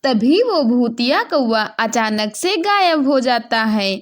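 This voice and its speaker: A woman's voice: fairly high pitch, big pitch swings, slow. A woman narrator reading a scripted children's bedtime story.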